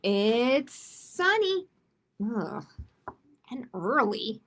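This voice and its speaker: Expressive high-voiced woman reading storybooks. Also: extremely slow. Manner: expressive, simple explaining with check-in questions. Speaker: library storytime host. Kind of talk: reading picture books aloud to children, with chatty asides.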